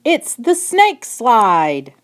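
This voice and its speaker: A woman voicing an eager young boy, a proud storybook tour guide who announces everything loudly and excitedly. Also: a little high, extremely slow, pitched above the performer's own voice, exaggerated voice. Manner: loud excited announcing and commanding.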